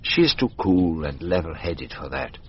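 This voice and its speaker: An actor playing an eccentric, courteous private detective in a radio drama, speaking formally and deliberately with dramatic exclamations. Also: male voice, extremely low pitch, sing-song, lo-fi sound, slight background noise. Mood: musing, intent.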